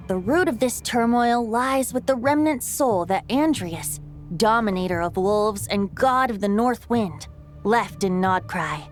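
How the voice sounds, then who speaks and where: A woman voicing a playful, youthful wind spirit in a video game, telling old lore with light teasing asides. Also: a little high. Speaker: game character.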